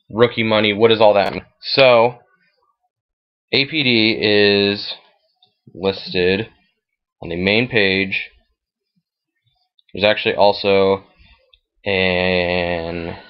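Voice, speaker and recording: A man's voice: on the low side, highs cut off. An American man giving a casual, matter-of-fact screen-share walkthrough in an informal way. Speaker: fantasy football league commissioner. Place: voice-over at a computer, screen recording.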